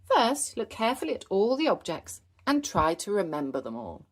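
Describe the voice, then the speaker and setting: A woman's voice: mid-range voice, huge pitch swings, normal speed. A woman voicing a kindly gazelle schoolteacher in a children's story, explaining patiently and encouragingly. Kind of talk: children's storybook character read aloud.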